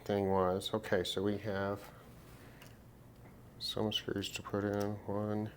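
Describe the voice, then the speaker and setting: A man casually narrating a hands-on repair demonstration, thinking aloud in an unhurried way as he works. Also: lowish voice, monotone, slight background noise. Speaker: repair video host.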